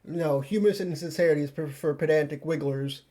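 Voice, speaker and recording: A man's voice: on the high side, brisk, warm tone, natural voice. A man voicing a haughty, easily angered aristocratic troll who speaks in formal, curt, pompous phrases. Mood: curt, disdainful.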